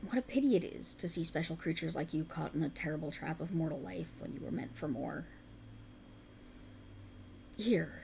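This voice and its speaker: An ancient, alluring faerie woman, played by a woman speaks in a creak-edged voice at a medium female pitch. She coaxes in formal, archaic phrases, tender and soothing with a sinister possessive streak. It is an ASMR roleplay with some ambient noise behind the voice. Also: darkish tone.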